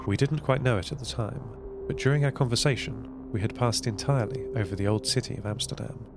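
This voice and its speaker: A man with a creaky voice playing a thoughtful, gentle artificial intelligence, narrating an audio drama in a measured, reflective way. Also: mid-range voice, background noise, natural voice. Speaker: narrator of an audio fiction podcast. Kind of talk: first-person scripted audio drama narration.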